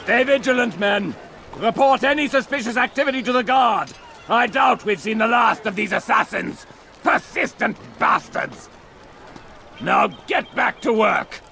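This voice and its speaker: An actor playing a paranoid, menacing crusader lord in a video game, theatrical and accusing. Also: male voice, very high voice, lively intonation, a little slow, gravelly, pushed and bright, slight background noise, exaggerated voice. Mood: commanding.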